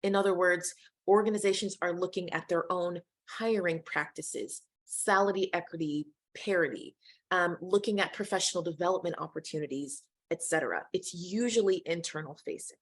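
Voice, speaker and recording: Middle-aged American woman, lowish voice, measured webinar presenter. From United States. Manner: measured, inclusive, addresses audience directly.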